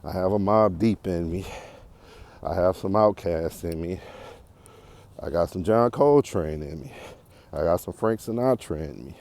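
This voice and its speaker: An American man in his forties talking casually and unscripted as a podcast host, in a reflective, halting, rambling way while walking outdoors. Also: deep voice, darkish tone. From Detroit area, United States. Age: 40s. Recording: clearly noisy outdoor walking recording.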